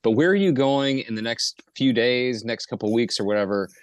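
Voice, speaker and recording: A middle-aged man hosting a podcast, earnest and halting, with fillers as he reads a prepared introduction. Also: mid-range voice, no echo, clean studio sound. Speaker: podcast host. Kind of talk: casual podcast chat and guest introduction.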